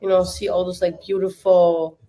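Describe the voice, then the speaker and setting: A young woman whose native tongue is German talks in relaxed, rambling chat as she hosts a live watch party. Her English carries a clear German accent and she leans on fillers as she answers her viewers. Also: fairly low pitch, normal speed. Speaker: livestream watch-party host. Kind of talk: casual livestream chat.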